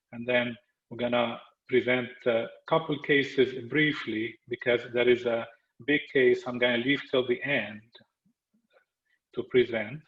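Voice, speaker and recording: A man from Lebanon with a Lebanese accent, presenting a medical webinar as a lecturer, speaking in short phrases with frequent hesitant pauses. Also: mid-range voice, fairly bright tone. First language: Arabic. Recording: echoey, dull muffled webinar audio.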